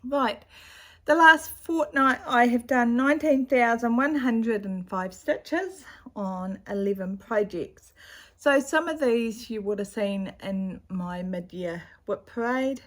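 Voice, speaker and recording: A middle-aged woman with a slightly croaky voice, chatting casually at home about her cross-stitch projects with a rambling, chatty manner, recorded on a camera's built-in mic. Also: a little high, takes it slowly, a bit bright. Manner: chatty, rambling, with fillers and asides. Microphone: camera's built-in mic, no external microphone.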